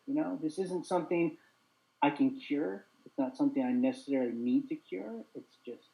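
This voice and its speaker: Brief: young man, stutters with repeated sounds, earnest, warm mellow tone. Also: a little high, moderate pace, vocal fry, rough recording. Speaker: host. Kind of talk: casual chat.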